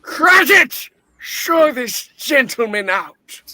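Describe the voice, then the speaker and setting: A man acting out a miserly old boss with a high, bright voice. He speaks slowly and scornfully, snapping into curt barks, in radio play fashion. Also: very animated, no room sound, exaggerated voice. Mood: barking, impatient. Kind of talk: theatrical radio play reading.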